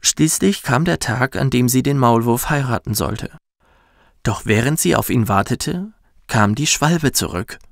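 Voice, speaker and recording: Narrator: man, gentle bedtime storytelling, unhurried, creaky low edges, soothing delivery. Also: expressive, no room sound, clean studio sound. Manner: soft, soothing, measured. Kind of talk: gentle scripted storytelling for children.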